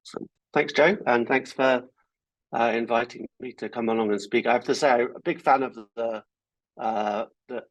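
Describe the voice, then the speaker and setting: Male guest lecturer, informal and self-deprecating, slightly husky voice, dry close sound. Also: mid-range voice, steady tone, normal speed, no room sound, low-quality recording. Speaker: guest speaker. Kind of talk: academic talk on a video call. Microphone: computer mic on a video call.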